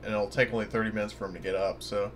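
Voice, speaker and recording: A man's voice: fairly low pitch, flat delivery, racing, slight background noise. A man chatting casually as a player in an online tabletop roleplaying game. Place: indoors on an online voice call.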